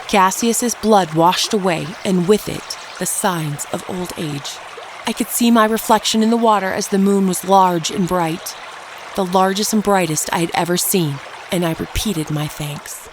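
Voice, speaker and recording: A woman playing a ruthless, unrepentant ageless murderess, narrating her tale in a measured, dramatic audio drama style. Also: mid-range voice, slight background noise, close to an everyday voice. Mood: dark, dramatic. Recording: narration over prominent background music. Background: music.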